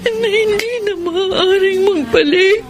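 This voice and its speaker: A woman acting a grieving, lovelorn woman in a Filipino radio drama, with a tearful, drawn-out, breathy delivery. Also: very high voice. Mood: anguished, tearful. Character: grieving lovelorn woman, tender and longing, bereft of partner. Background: music behind the voice.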